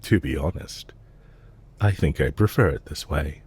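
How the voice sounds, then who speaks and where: Guy doing a suave villain boss for audio rp, slow deep voice, soft n polite, bit teasing. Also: breathy edge, full character voice. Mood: composed, courteous. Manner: polite, measured, softly teasing. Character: suave, courteous male villain leader, calm and calculating. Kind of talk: intimate audio roleplay acting, addressed to the listener.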